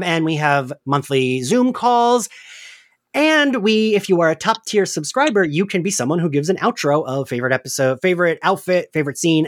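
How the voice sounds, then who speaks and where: A man's voice: fairly high pitch, a bit bright, no room sound, studio quality. A man hosting a podcast, chatting casually and informally with plenty of "like" in his talk. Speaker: podcast host. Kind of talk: casual chat about an audio drama.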